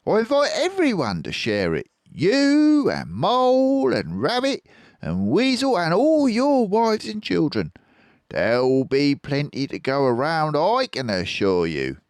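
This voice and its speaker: A man's voice: on the high side, wildly swooping pitch, a little slow, no echo, clean studio sound, pitched above the performer's own voice, exaggerated voice. A man voicing a confident, generous, scheming male fox for a children's storybook, grandly persuasive and savouring his words. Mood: magnanimous.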